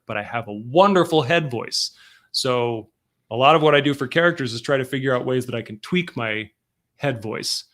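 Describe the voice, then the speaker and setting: Bright-voiced man with a stuffy cold. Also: mid-range voice. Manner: chatty, explains with quick examples. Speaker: host. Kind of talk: casual discussion of roleplaying games.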